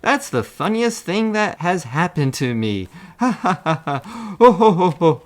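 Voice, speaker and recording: A man's voice: high-pitched, very animated, unhurried, voice pushed up. A man voicing a big, apologetic, easily frightened elephant in a children's storybook. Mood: amazed, amused.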